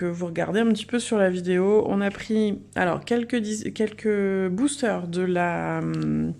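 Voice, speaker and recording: Mellow-voiced woman hosting an unboxing. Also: medium pitch, creaky. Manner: chatty, enthusiastic. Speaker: host of a card unboxing video. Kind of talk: casual unboxing commentary.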